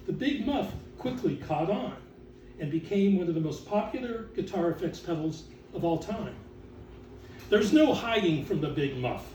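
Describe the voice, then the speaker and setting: A man reciting written text as author and curator, in a theatrical, deliberate way with drawn-out emphasis. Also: fairly high pitch, mellow. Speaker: author and exhibition curator reading aloud. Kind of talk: dramatic recitation of catalog text to an audience. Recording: roomy, distant mic with background noise.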